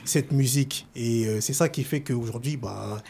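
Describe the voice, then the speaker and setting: A man's voice: medium pitch, normal speed, vocal fry, a little mellow, slight background noise. Hesitant French man, author guest. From France. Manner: hesitant, many fillers. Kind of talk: casual talk-show chat.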